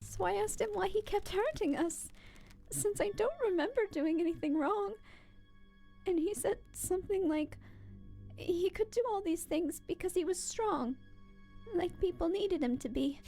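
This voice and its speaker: A woman voicing an innocent, reassuring young skeleton brother in an animated comic dub, speaking simply and earnestly. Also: very high voice, a little slow, creaky, faint music behind, full character voice. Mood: sad, puzzled. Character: hopeful young skeleton brother, a comforting, innocent little boy.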